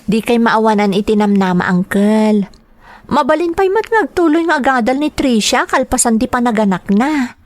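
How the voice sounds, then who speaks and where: A woman's voice: a little high, animated, moderate pace, no echo, close to an everyday voice. A woman acting as a dutiful, earnest young daughter in an Ilocano radio drama, speaking with a Filipino accent. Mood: earnest, encouraging. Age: young adult.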